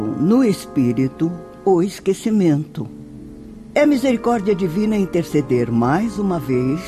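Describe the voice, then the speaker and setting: A wise spirit teacher for a radio drama. The delivery is formal and compassionate, with expressive swings in pitch and a soft, mellow tone. Music plays behind the voice. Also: female voice. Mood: solemn, grave. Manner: didactic, solemn, formal. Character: wise spirit mentor teaching suicide souls, solemn, compassionate.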